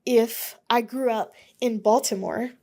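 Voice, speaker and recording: A woman's voice: a little high, steady tone, unhurried, warm tone. A young woman from Alabama hosting her own podcast, rambling chattily with lots of fillers. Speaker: podcast host. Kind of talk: casual solo podcast monologue.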